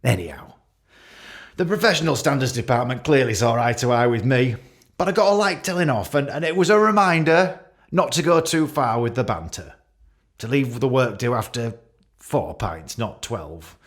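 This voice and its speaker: An actor playing a pompous, self-pitying middle-aged British senior police officer making a halting, passive-aggressive speech in a radio play. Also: male voice, mid-range voice, animated, natural voice. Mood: self-justifying, smug.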